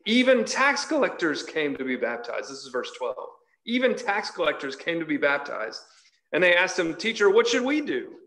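Higher-pitched man preaching emphatically. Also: sing-song, normal speed, bright, forceful tone, rough recording. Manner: emphatic, repeats phrases for stress. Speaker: preacher leading a Bible study. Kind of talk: sermon with scripture reading and commentary. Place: large church hall, indoors.

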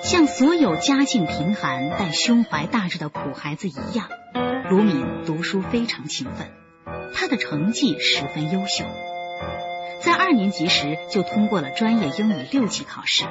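A woman's voice: medium pitch, very animated, moderate pace, highs cut off. A woman narrating a scripted radio crime drama. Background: music.

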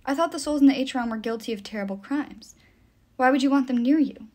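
Woman voicing an anxious young man, high and urgent. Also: quick pace, a little mellow. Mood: fearful, confused. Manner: urgent, emphatic. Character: anxious, earnest young man, protective boyfriend. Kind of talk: audiobook character dialogue.

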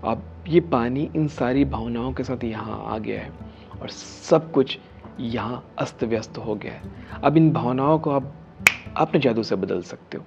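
A man's voice: medium pitch, a little slow, creaky, muffled recording, music in the background. A man in his thirties, a healer, explaining a method to camera in an earnest, instructive way, sometimes lifting his voice for emphasis and sometimes dropping to a whisper. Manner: earnest, explanatory, rising emphasis on key phrases, drops to whispers. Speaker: spiritual healer and video host. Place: studio set with dark curtain and statue.

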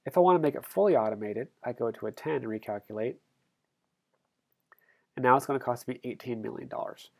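A male instructor talks through a screencast at a steady middle pitch. His voice has a gravelly, creaky edge. He explains each step plainly and corrects himself when he slips. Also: fairly quick, long pauses. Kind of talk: screencast tutorial walkthrough. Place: voice-over on screen recording.

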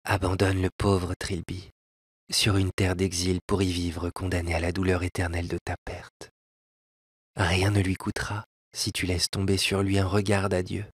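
A man voicing an exiled male elf, gentle and sorrowful, in a soft, lyrical pleading delivery. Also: low-pitched, monotone, normal speed, husky, dry recording. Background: faint piano.

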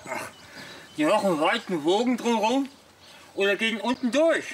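An eager tinkerer on a campsite. His voice is extremely high and very animated, in radio comedy style, with clear outdoor noise behind him. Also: moderate pace. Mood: proud, eager. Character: excitable tinkering male camper, proud of his traps. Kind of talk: German comedy radio play acting.

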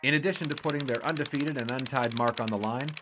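A man's voice: mid-range voice, background noise, lightly acted. Man playing wisecracking 1920s newspaper sportswriter. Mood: brisk, confident. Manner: brisk dictation, wry banter. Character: wisecracking male 1920s newspaper sportswriter, eager, a bit boyish. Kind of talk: 1920s period audio drama acting.

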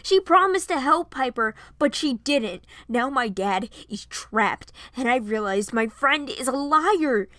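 A woman voicing an anguished, impulsive teenage boy in a dramatized story, stammering and emphatic, quick to anger. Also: sits high, expressive, bright, forceful tone, no room sound, studio quality, voice pushed up, exaggerated voice. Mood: angry, yelling.

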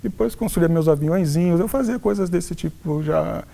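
An older man from São Paulo recounts his life in a soft, halting way, doubling back on his words. His voice is gravelly and mellow, fairly high for a man, picked up by a lapel mic in a quiet office. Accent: Paulistano Brazilian Portuguese. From São Paulo, Brazil. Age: 60s. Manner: halting, frequent restarts and self-corrections. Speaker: interviewed professor. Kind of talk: reflective life-story interview. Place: furnished office with wooden cabinets, indoors.

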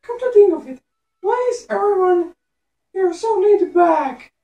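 Man as a cartoon hedgehog girl, very high falsetto, sweet, lovestruck, soft and mellow, game parody. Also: animated, measured pace, roomy sound, lo-fi sound, exaggerated voice. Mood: sweet, puzzled. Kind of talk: amateur game parody voice acting.

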